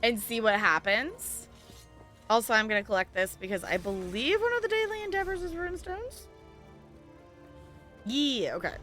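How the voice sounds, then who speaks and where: Bright, animated woman chatting on stream. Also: a little high, normal speed, pauses often, soft background music. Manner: rambling, thinking aloud. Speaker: game livestream host. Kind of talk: casual chat while playing an online game.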